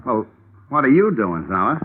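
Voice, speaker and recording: An offhand, shady private detective, played by a man from a 1940s radio play. His slangy talk sits at a middling pitch. The recording is tinny, with faint noise. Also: sing-song, moderate pace, lightly acted. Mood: sly, casual.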